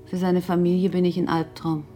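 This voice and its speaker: A woman's voice: lowish voice, flat delivery, a little fast, dark tone, soft background music, lightly acted. An actress playing a self-assured, talkative woman with an ironic, pointed way of speaking in a naturalistic radio drama. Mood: wry, talkative. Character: self-assured, sardonic, independent older girlfriend of a runaway man.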